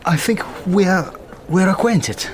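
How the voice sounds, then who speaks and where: A halting, polite contact. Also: male voice, sits high, a crowd in the background. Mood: courteous. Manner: halting, courteous, many hesitations. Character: hesitant, polite Russian contact man.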